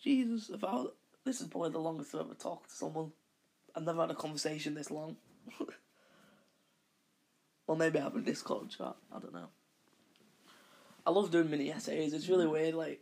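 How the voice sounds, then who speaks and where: Croaky man rambling through a monologue. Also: on the high side, darkish tone. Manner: rambling, with frequent self-corrections. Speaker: podcast host.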